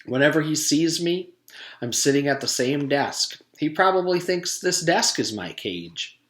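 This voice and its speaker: A man's voice: on the high side, animated, measured pace, a bit bright, pitched above the performer's own voice. Man reading as an earnest, plaintive schoolboy, roomy sound. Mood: wistful. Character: earnest schoolboy longing to be free.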